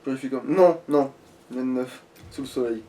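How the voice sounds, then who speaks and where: A man's voice: medium pitch, moderate pace, warm tone, distant mic. A man chatting casually as he plays a game, thinking aloud in slang with some swearing. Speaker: gamer playing. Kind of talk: casual Let's Play chat.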